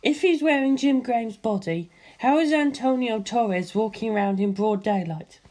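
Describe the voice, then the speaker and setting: A commanding warrior woman, brisk and analytical, her voice pitched up above a plain reading voice, as a woman narrator puts her on. She talks through danger like a strategist in a storybook reading. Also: a little mellow, lightly acted.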